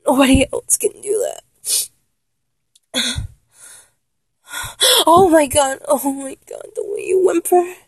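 A high, breathy voice, close and dry, for a needy and teasing male lover played by a woman in intimate audio roleplay. The delivery is slow and halting, sliding between pleading and bossy, with sighs and whispers. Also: wildly swooping pitch, no room sound, exaggerated voice. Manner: halting, sighing, slipping into whispers.

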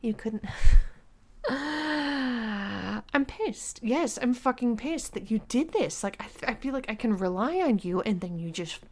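A woman acting out a bossy, scolding young girlfriend in an audio roleplay, slipping between hushed whispers and loud outbursts and laughing mockingly as she talks. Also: on the high side, animated, unhurried. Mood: domineering. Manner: frequent laughter while talking.

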